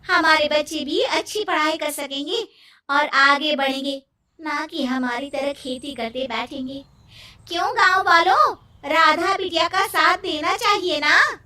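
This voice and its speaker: A woman voicing an excitable, outspoken male villager speaking eagerly in a cartoon story. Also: high voice, measured pace, long pauses, reverberant, far from the mic.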